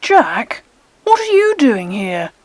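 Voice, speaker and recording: A woman playing excitable, adventurous English schoolchildren in a dramatised children's audiobook, speaking emphatically. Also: on the high side, slow pace, dry recording, exaggerated voice. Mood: surprised. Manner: emphatic, exclamatory. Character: excitable adventurous British schoolboys and girl on a treasure hunt.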